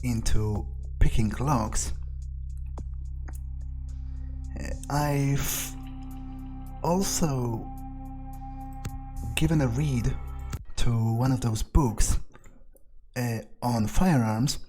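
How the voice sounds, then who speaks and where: A man's voice: medium pitch, very slow, pauses often, dark tone, faint music behind. A man playing a wary, foul-mouthed vampire in a game playthrough, thinking aloud in a hesitant mutter. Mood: musing. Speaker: let's player. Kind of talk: in-character gameplay commentary, thinking aloud. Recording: noticeable background noise.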